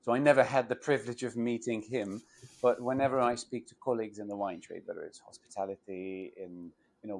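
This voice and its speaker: A man's voice: mid-range voice, a little mellow. A man in his forties from Hungary, with a Hungarian accent, speaking English on a video call as a port wine educator, thoughtful with many fillers and restarts.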